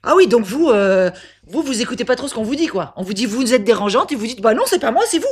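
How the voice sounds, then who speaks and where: An actress playing a pushy, teasing clown interviewer who argues in circles and often breaks into laughter. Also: female voice, a little high, sing-song, quick pace. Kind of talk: comic clown sketch interview.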